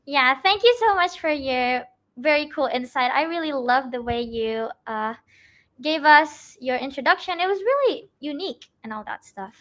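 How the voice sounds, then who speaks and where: A woman's voice: sits high, expressive, normal speed, a bit bright. A teenage girl, a tenth grader hosting a student interview, eager and full of effusive praise. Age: teens. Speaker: student host. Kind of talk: casual interview chat.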